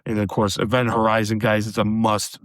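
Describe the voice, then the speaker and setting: A man hosting a solo horror movie review podcast, chatty and casual, calling his listeners guys, his voice a little hoarse from a recent cold. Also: lowish voice, monotone, a little fast, dry recording, clean studio sound. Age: adult with a daughter. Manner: chatty, addresses listeners as guys, many fillers. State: slightly hoarse, recovering from a cold.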